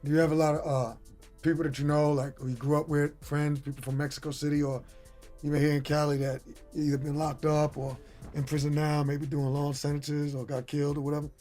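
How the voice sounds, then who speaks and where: Male host, somewhat high voice, encouraging, reflective, faint music underneath. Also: flat delivery, a little fast, mellow. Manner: encouraging, reflective, frequent affirmations. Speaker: podcast host. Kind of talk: conversational interview questions.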